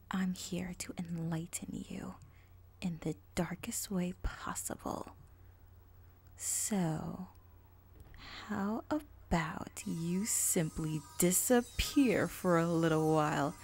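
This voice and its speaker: A woman voicing a sinister dark female pony spirit, a gloating villain who talks in a slow, taunting, hissing whisper. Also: on the low side, creaky, husky, full character voice. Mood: menacing.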